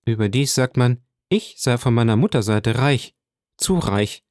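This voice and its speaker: Guy doing a young woman, high and pleading, soft and emotional, dry close read. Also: measured pace, dry recording, close to an everyday voice. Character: young woman, tender, tearful, pleading granddaughter. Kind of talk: audiobook character voice.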